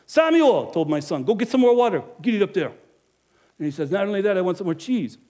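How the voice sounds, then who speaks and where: A man's voice: high voice, fast. A man playing a boastful money-minded innkeeper. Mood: storytelling. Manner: animated, emphatic, swings loud to hushed. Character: middle-aged male innkeeper, money-minded and guilt-ridden. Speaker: actor. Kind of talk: dramatic first-person monologue.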